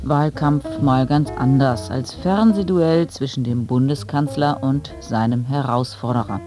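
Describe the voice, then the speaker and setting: Low-voiced woman hosting radio over music. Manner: rhetorical, addresses listeners directly. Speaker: radio phone-in host. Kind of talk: scripted show introduction.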